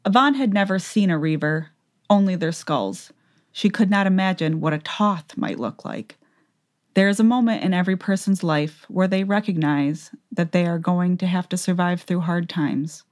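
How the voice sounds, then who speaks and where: A woman's voice: lowish voice, normal speed, a little mellow. A woman narrator reading a fantasy tale in a scripted, dramatic audiobook style.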